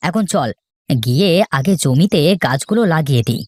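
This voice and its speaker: A woman voicing a sensible, hardworking eldest brother in a children's cartoon, firm and gently scolding. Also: low-pitched, moderate pace, slight background noise. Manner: steady, scolding, big-brotherly.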